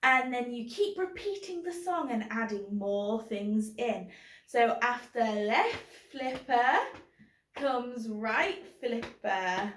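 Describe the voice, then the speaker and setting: High-pitched woman hosting for children, roomy echo. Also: takes it slowly, fairly bright tone, slight background noise. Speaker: children's book club host. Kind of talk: children's presenting. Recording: roomy, echoing sound.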